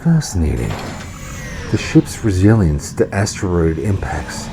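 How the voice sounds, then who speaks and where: A man's voice: deep voice, sing-song, unhurried, gravelly, noisy background, full character voice. A man voicing a huge, socially unaware alien plant scientist in a sci-fi comedy audio drama, dry, clinical and formal. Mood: detached fascination.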